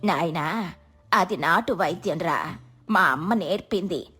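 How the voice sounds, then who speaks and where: A woman's voice: fairly low pitch, measured pace, pushed and bright, husky, faint music behind, voice pushed down, heavily acted. A woman voicing a kindly, scolding old village healer in a moral story, motherly and pleading. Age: older.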